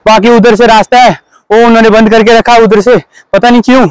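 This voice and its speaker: A man's voice: very high-pitched, flat delivery, a little fast, smooth, dry recording, rough recording. A man, chatting casually and playfully to camera as he vlogs outdoors. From Himachal Pradesh, India. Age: 20s. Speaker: travel vlogger. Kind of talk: casual vlog chat to camera. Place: outdoors on a forested mountain trail.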